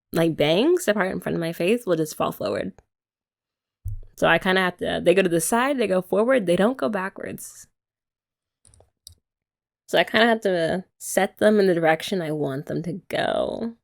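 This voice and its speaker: A young woman in an ASMR girlfriend roleplay, talking softly and teasingly to the listener. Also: lowish voice, vocal fry. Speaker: ASMR creator.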